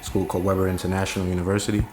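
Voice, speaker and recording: A young man with a New York accent, a podcast guest telling stories in a relaxed, slangy way. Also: sits low, rapid-fire, faint music behind. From Staten Island, New York. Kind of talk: casual interview chat.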